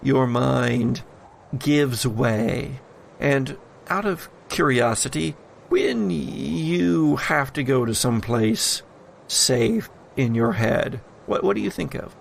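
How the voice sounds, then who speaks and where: A man narrating a horror tabletop game as game master, addressing players in a dramatic, deliberate storytelling manner. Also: mid-range voice, expressive, gravelly, a little hiss or hum.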